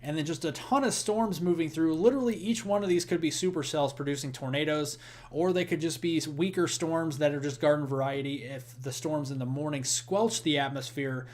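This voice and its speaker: A man's voice: a little high, a little fast, darkish tone. A man hosting a live weather stream, talking casually through the forecast with fillers and restarts. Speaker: weather livestream host.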